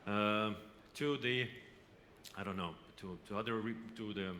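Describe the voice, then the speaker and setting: A man from Lithuania gives a conference presentation, speaking haltingly with frequent hesitations and restarts. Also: fairly low pitch, pushed and bright. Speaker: company representative presenting. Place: exhibition hall. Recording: reverberant hall, faint background noise.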